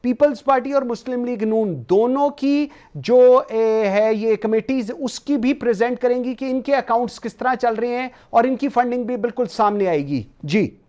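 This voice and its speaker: A man's voice: very high-pitched, normal speed, few pauses, a little mellow. A man with a Pakistani accent hosting a political discussion show, mixing English phrases into his speech. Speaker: talk show host.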